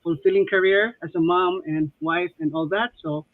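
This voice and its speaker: A woman's voice: lowish voice, steady tone, normal speed, dry recording. Tinny-sounding woman. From Cebu, Philippines. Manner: chatty, laughs often between phrases. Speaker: interview guest. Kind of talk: casual interview talk. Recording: tinny phone-like line, dry.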